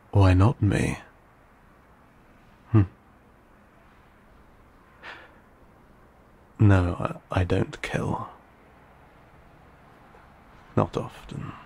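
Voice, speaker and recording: Man playing an ancient gravelly vampire, slow and low. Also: a little mellow, heavily acted. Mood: wry, musing. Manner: measured, hesitant, formal and old-fashioned diction. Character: ancient, courteous, guarded male vampire living in solitude. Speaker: audio roleplay voice actor. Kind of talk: intimate audio roleplay acting.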